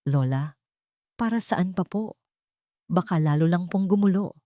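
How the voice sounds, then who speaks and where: A woman voicing a soft-spoken, respectful young grandson in an audio drama. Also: lowish voice, unhurried, no echo, clean studio sound, natural voice. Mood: hesitant, worried. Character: gentle, respectful young grandson, hesitant and caring.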